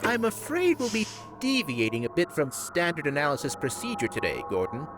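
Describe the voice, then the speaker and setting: A cautious, reassuring lab scientist acted by a man for an audio drama. He is fairly high-voiced with a swooping intonation and formal phrasing. Loud music sits behind him. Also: very animated, brisk.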